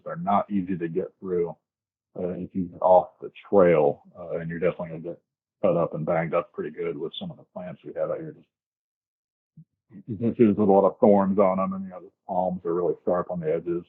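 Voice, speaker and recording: An American man from the southern US, casually telling a first-hand story as an interview guest, descriptive and a little halting. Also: deep voice, slightly rough. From southern United States, grew up in Florida. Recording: tinny, band-limited remote call.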